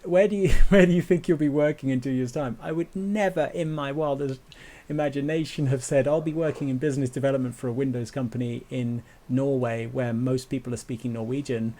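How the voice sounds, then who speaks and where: A man's voice: mid-range voice, fairly even intonation, a little fast, hardly any pauses, warm tone. A man hosting a podcast, talking casually and often restarting his sentences with repeated words. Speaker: podcast host. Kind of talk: casual conversation.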